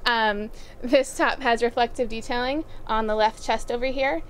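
A young woman presenting new running shoes and apparel in a casual, upbeat way, often pausing with "um". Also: fairly high pitch, steady tone, a little hiss or hum. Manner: upbeat, with frequent "um" fillers. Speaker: store product presenter. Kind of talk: casual product rundown. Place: outdoors by farm fields.

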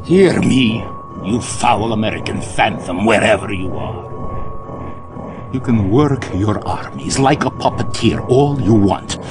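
A man's voice: on the low side, a little slow, over music, close to an everyday voice. A man playing a grandiose, vengeful Soviet general, theatrical and menacing, in a game cutscene. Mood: menacing, gloating.

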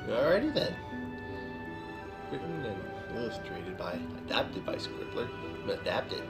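A young man talks casually through his reactions from a couch at home. His pitch moves around in a lively way, with music playing clearly behind him. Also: a little slow. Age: 20s. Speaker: reaction video host. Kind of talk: casual off-the-cuff reaction commentary. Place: living room couch indoors.

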